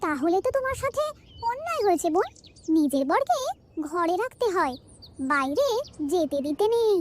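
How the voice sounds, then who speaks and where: A woman's voice: very high-pitched, big pitch swings, moderate pace, a little hiss or hum, voice pushed up. A woman voicing a gossipy, suspicious neighbour in a village cartoon, insinuating and know-it-all in manner. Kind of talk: cartoon story acting.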